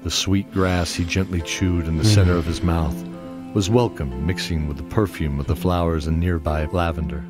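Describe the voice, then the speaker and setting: Very deep-voiced male narrator over music. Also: steady tone, moderate pace. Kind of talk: scripted fantasy audio drama narration. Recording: music under the voice.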